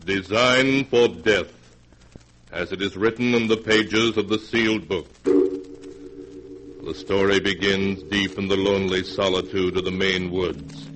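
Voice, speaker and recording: Very deep, ominous horror storyteller. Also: male voice, steady tone, a bit bright, a little hiss or hum, rough recording. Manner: solemn, measured, theatrical. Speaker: narrator. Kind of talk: 1940s radio horror narration. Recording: 1940s radio recording, music behind.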